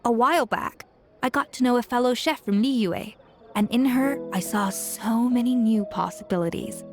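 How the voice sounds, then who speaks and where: Woman playing a confident, high-voiced young chef. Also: normal speed, faint music behind, close to an everyday voice. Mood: reflective. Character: confident, exacting young female chef, passionate about cooking. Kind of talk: video game character dialogue.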